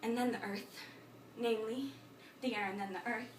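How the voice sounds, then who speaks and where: A woman playing a frantic, babbling thinker in an absurdist stage monologue, incantatory and repetitive, swinging between muttering and shouting. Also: a little high, fairly even intonation, moderate pace, slight background noise, natural voice. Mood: anguished, incantatory. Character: frantic, babbling thinker reciting a broken learned tirade. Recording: echoey room, distant microphone.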